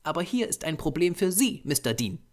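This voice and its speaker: A man's voice: fairly high pitch, very animated, brisk, pitched above the performer's own voice. A man voicing a small, grey-haired Japanese doctor, a patient, earnest occultist lecturing formally in an audiobook. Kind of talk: audiobook character voice.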